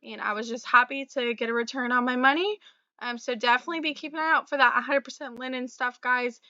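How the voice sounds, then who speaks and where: A young woman vlogger, slightly raspy from a cold, chatting casually about her resale items with fillers and little laughs. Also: high-pitched, steady tone, fast, sharp tone, dry recording, highs cut off. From Florida. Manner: chatty, friendly, many fillers and small laughs. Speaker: reseller vlogger. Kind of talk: casual talk to camera about sales.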